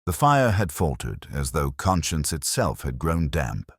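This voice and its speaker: Very deep-voiced brooding detective played by a man. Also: very animated, breathy edge, no echo, clean studio sound, heavily acted. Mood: brooding, reflective. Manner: measured, reflective, solemn. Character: brooding, literary, melancholy male police detective recounting a case. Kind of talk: scripted first-person audio mystery narration.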